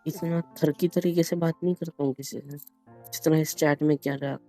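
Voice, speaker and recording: A man's voice: fairly high pitch, fairly even intonation, fairly quick, dark tone, soft background music. A man in the role of a husband, arguing casually at home, protesting and often repeating his words. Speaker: husband in a prank video. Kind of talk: casual domestic argument.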